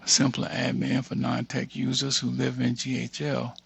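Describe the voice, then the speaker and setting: An American man casually thinking aloud through a screen-share software tutorial, reading bits of text and muttering asides to himself. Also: medium pitch, completely flat delivery, moderate pace, vocal fry, husky, dry recording.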